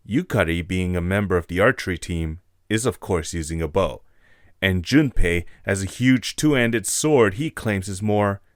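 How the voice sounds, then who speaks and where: Deep male narrator reading a story script, dry sound, no room echo.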